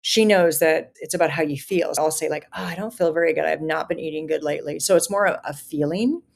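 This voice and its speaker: A woman's voice: deep voice, quick pace, creaky. A woman in her fifties, a guest on a podcast interview, talking earnestly in long, run-on thoughts. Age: 50s. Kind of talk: podcast interview, casual conversation.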